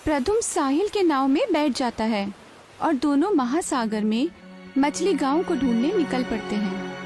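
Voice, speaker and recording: Casting: female storyteller, high voice, scripted narration over background music.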